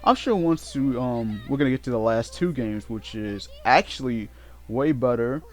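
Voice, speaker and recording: A man giving casual, unscripted game commentary in a halting way, often repeating and correcting himself as he goes. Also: medium pitch. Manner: halting, repetitive, self-correcting. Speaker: let's play host. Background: game music.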